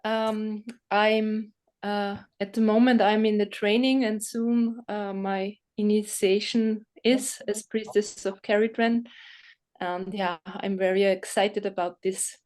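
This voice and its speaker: A woman speaks English slowly and carefully, pausing as she looks for words. Her voice sits at a middle pitch. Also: little pitch movement, a little mellow. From Austria. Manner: careful, hesitant.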